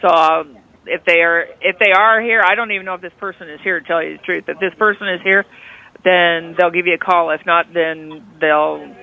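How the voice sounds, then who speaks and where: A woman's voice: fairly low pitch, fairly even intonation, normal speed. Woman nurse on a tinny phone line. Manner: firm, matter-of-fact. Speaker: nurse, hospital intake director. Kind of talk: businesslike phone conversation.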